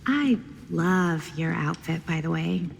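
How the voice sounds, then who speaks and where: An actress playing a hesitant, guarded young woman in a video game, with a husky, creaky voice. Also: lowish voice, lively intonation, slow pace, a little hiss or hum, full character voice. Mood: awkward, shy. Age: young adult. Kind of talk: naturalistic video game acting.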